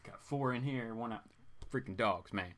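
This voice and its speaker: A man's voice: mid-range voice, moderate pace, fairly bright tone. A man hosting a live stream in an informal, rambling chat, with playful asides that swing between exclaiming and muttering. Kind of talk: casual rambling chat, reading viewer comments.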